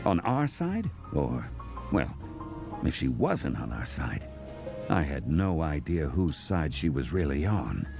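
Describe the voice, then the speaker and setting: A hard-boiled crocodile detective in a noir audio drama. The voice is rock-bottom low and gravelly, brooding yet swooping in pitch, with music underneath. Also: male voice, very animated, slow, full character voice. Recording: music behind the voice.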